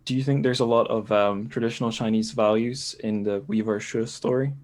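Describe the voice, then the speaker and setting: Low-voiced man hosting a podcast discussion. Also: steady tone, fairly quick, darkish tone. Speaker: podcast host. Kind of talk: casual panel discussion.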